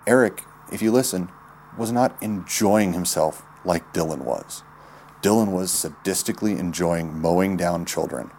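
A man's voice: sits low, unhurried, darkish tone, a little hiss or hum. An American man giving an informal, blunt eyewitness recollection in colloquial speech. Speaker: eyewitness and former student giving an account.

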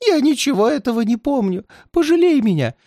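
A man voicing a frightened, paranoid old man who pleads and wails in a high, cracking voice. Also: sing-song, brisk, a little mellow, dry recording, clean studio sound, full character voice. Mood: desperate. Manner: wailing, high pleading cries.

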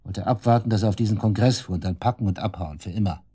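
Casting: cocky shady suspect, dark low husky voice, taunting and coarse, radio play. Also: male voice, fast. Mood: confiding, matter-of-fact. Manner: taunting, cynical, coarse colloquial talk. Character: cocky, sneering male murder suspect, shady club worker. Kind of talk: German radio drama acting, interrogation dialogue.

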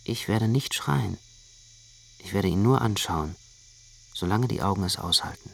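A man's voice: on the low side, unhurried, vocal fry. A man narrating a radio play in the first person as an anxious, brooding literary storyteller.

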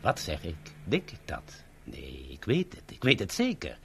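A man's voice: low-pitched, huge pitch swings, unhurried, gravelly, fairly bright tone, slightly rough, exaggerated voice. An actor playing a pushy, florid salesman from Ghana in a 1970s radio play, wheedling and theatrical. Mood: pushy, boastful.

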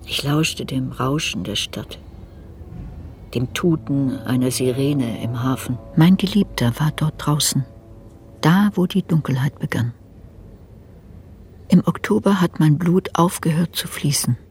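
An actress playing a reflective woman looking back on a troubled youth, narrating a radio drama in a measured, introspective way. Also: deep voice, darkish tone, a little hiss or hum. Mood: sober, reflective. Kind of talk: literary radio drama narration, first-person memoir. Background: music.

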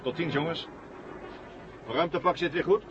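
An actor in a 1970 radio drama playing a gruff, wary space crewman. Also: a little high, normal speed, natural voice. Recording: muffled old radio recording, clear hiss.

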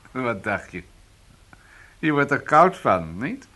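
An actor playing a hard, smug police interrogator in a radio crime drama, curt and taunting. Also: male voice, medium pitch, big pitch swings, very slow, bright, forceful tone, heavily acted. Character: hard, needling male police interrogator, smugly in control.

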